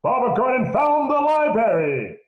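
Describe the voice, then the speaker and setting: A man narrating parts of a radio play in a lively, announcer-like way. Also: extremely high pitch, normal speed, echoey room, muffled recording.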